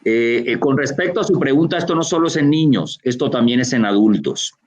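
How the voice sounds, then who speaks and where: A gastroenterologist from Colombia with a Barranquilla accent, explaining a medical answer over a video call, with frequent fillers and restarts. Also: male voice, mid-range voice, expressive, fairly quick. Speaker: gastroenterologist lecturer. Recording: dull lo-fi video call audio.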